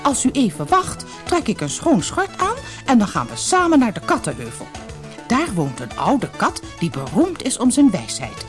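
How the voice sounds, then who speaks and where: Casting: female narrator as a haughty, scheming old weasel lady for a storybook, high, wildly animated delivery over music. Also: moderate pace. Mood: coaxing. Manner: haughty, formal, teasing. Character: shrewd, cheeky, brazen old lady weasel. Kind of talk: storybook character voice.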